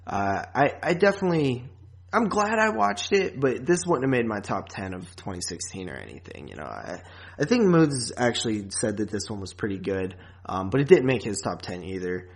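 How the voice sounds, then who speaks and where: A man's voice: fairly low pitch, very animated, moderate pace. A man hosting a podcast, chatting casually about films and often restarting his thoughts. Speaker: podcast host. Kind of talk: casual film review chat.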